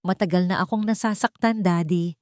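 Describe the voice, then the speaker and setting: A woman voicing a wounded but resolute young heiress in a story, speaking in measured, firm, quietly defiant declarations. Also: lowish voice, fairly even intonation, no echo, studio quality, lightly acted. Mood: hurt defiance.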